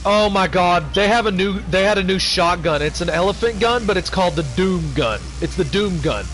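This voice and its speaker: High-voiced man eagerly telling game stories. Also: animated, a little fast, fairly bright tone, slight background noise. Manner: eager storyteller, keeps asking "you wanna know". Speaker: gamer chatting on a livestream. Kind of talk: casual chat.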